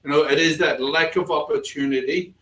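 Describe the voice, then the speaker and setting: Host in an echoey room, off mic. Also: male voice, on the high side, steady tone, brisk, a bit bright. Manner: affirming, picks up on the guest's words. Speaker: webinar host. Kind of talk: conversational interview. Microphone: video call. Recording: echoey room, distant mic, faint noise.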